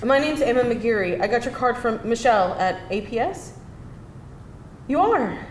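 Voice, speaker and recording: Woman acting as anxious young woman, hesitant, vulnerable, fairly high voice, echoey live table read. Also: animated, normal speed, natural voice. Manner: hesitant, unsure. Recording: roomy live hall, faint noise.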